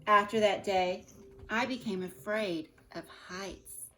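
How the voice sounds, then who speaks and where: A teacher reading a picture book aloud to her students from home, with expressive delivery and gentle asides to the children. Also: mid-range voice, takes it slowly.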